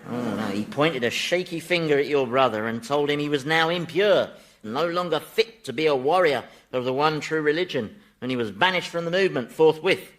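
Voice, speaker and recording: A gleeful, bright-voiced wry Satan. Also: male voice, on the high side, animated, a little fast. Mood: amused, boastful. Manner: wry, chatty, mock-indignant. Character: Satan, gleeful mischievous male ruler of Hell. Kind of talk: radio sitcom acting before an audience.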